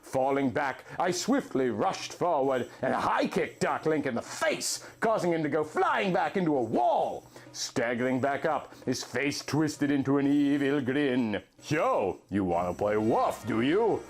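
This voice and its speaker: A man's voice: mid-range voice, expressive, unhurried, creaky, hardly any pauses. A man reading a fan story aloud as host, with a mock-dramatic storybook delivery.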